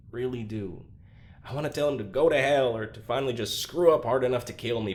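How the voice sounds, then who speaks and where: Weary, gravel-edged male prisoner. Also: medium pitch, slight background noise. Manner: halting, apologetic, self-deprecating. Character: weary, self-loathing male prisoner and test subject, wistfully lovelorn. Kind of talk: confessional audio drama monologue.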